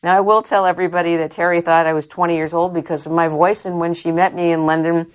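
A woman in her thirties hosts an interview with gushing enthusiasm. Her voice sits slightly low, and she talks in a chatty way, laughing easily between questions in a hotel setting. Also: little pitch movement, poor audio. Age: 30s. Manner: effusive and chatty, quick to laugh. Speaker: talk show host. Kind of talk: enthusiastic radio-style interview hosting. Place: hotel in London.

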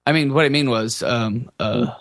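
A man's voice: medium pitch, normal speed, a bit bright, no room sound. Jokey rambling man, dry close sound. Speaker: podcast co-host giving game news. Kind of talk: casual gaming podcast chat.

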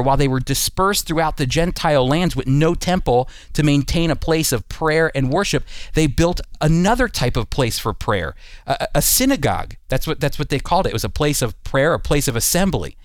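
An American man speaks in a somewhat high-pitched voice as the host of a teaching program. He explains things earnestly, with emphasis and rhetorical questions. The sound is dry, with very little room around the voice. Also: expressive, a little fast, dry recording. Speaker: radio Bible teaching host. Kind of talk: teaching talk with scripted segments.

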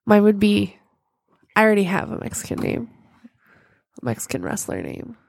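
Female co-host, nasally congested, swooping expressive delivery, easygoing banter, dry studio-close sound. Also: medium pitch, normal speed, gravelly, no room sound. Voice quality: stuffed-up, congested. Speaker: radio or podcast host. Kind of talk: casual chat, joking.

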